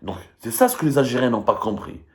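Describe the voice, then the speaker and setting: A man's voice: mid-range voice, very animated, fast talker, a little mellow. A man with an Algerian accent giving live political commentary in an emphatic, argumentative and repetitive way. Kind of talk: live political commentary, reading and dissecting statements.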